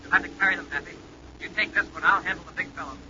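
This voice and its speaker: Casting: fast-talking, authoritative American space commander, vintage radio serial, dull and very noisy recording. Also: male voice, sits high, steady tone, bright, forceful tone. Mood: urgent, steady. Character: brisk, commanding male space patrol commander. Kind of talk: 1950s radio serial acting.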